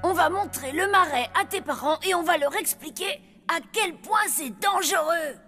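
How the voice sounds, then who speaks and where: A young cartoon zombie boy, anxious and full of schemes. The voice is very high, exclamatory and slangy, with music underneath. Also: animated, moderate pace, bright, forceful tone, exaggerated voice. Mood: scheming.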